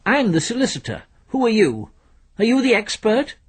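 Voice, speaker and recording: A man's voice: high voice, huge pitch swings, normal speed, husky, voice pushed up, full character voice. A man voicing a pompous middle-aged solicitor, formal, pedantic and easily indignant, in a radio story.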